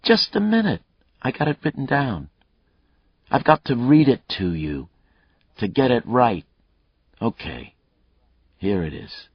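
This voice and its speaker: A man's voice: medium pitch, sing-song, unhurried, pauses often, slightly rough, no echo, lo-fi sound, pitched above the performer's own voice. A male narrator voicing a taunting, unhinged fugitive killer in an audiobook, with a crude, swaggering manner. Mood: mocking.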